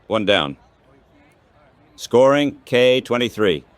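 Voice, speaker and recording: A man calling baseball play-by-play in measured, clipped phrases, like scripted radio commentary. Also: mid-range voice, lively intonation, dry recording, a faint crowd.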